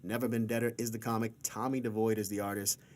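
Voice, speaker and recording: A man's voice: lowish voice, level tone, fast talker. A man hosting a casual live podcast, chatty and jokey, often repeating his phrases. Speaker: podcast host.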